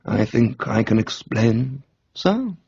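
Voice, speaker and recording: Male reader as discreet valet, composed, formal, exact phrasing, husky, radio comedy, slightly muffled sound. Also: medium pitch, big pitch swings, dark tone, dry recording, exaggerated voice. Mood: calmly explanatory. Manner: measured, formal, precise.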